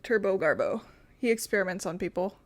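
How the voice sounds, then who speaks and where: A woman's voice: medium pitch, a little fast, no echo. Playful woman gamer. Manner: playful, blunt, sweary. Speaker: gaming streamer. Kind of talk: casual let's play commentary.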